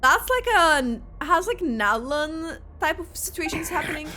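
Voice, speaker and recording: A woman reacting casually to a game in a high, bright voice. Her delivery is excitable and exclamatory, with swooping intonation and faint game music underneath. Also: measured pace, a little hiss or hum. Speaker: gaming content creator reacting to a playthrough.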